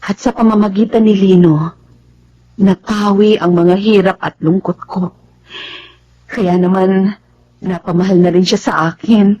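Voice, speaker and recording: An actress playing a soft-hearted, grateful mother in a Tagalog radio drama. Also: mid-range voice, fairly even intonation, unhurried, pauses often, lo-fi sound, a little hiss or hum, close to an everyday voice. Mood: grateful, reminiscing. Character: grateful, soft-hearted widowed mother remarried for her children.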